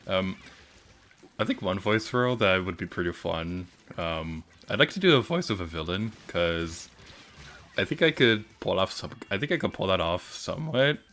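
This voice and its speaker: A soft-spoken young man streaming and chatting casually, hesitant, with many pauses and ums. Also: sits low, big pitch swings.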